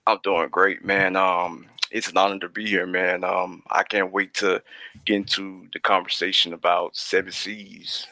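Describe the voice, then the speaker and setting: A man's voice: deep voice, little pitch movement, measured pace, sharp tone, no echo. A man speaking as an interview guest, explaining his creative work in a casual, rambling, hesitant way.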